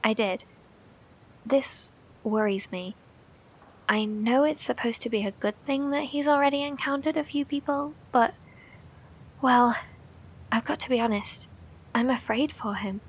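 A woman playing an anxious, caring radio operator in a horror audio drama, with a breathy voice and a tentative, concerned delivery. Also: on the high side, a little slow, long pauses. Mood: hesitant, afraid. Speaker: actor.

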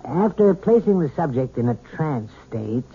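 An actor playing a calm, polite hypnotherapist in a 1970s radio drama, explaining things in a measured, deliberate way. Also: male voice, fairly high pitch, breathy edge, exaggerated voice. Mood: measured, explanatory. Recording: tinny, band-limited old radio broadcast.

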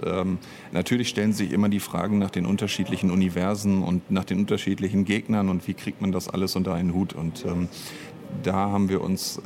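A man's voice: deep voice, a little mellow. A man talking casually as an interview guest, explaining at length and often restarting his sentences. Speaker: interviewed audio drama author and director. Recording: noticeable background noise.

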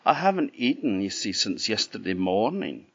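Jack, a man doing a high pleading lad. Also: very animated, moderate pace, creaky, no echo, lo-fi sound, full character voice. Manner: pleading, wheedling. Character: hungry cheeky young lad Jack.

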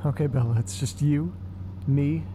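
An actor playing a restless, sweary man who mutters to himself and his pets in a naturalistic horror audio drama, casual and deadpan. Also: a little high, moderate pace, noisy background, lightly acted. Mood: wry, deflating.

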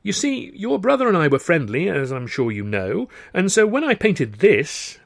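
Man acting a high-pitched, innocently polite young Englishman. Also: huge pitch swings, brisk, gravelly, full character voice. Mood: coaxing. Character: young English performer feigning polite, wide-eyed innocence.

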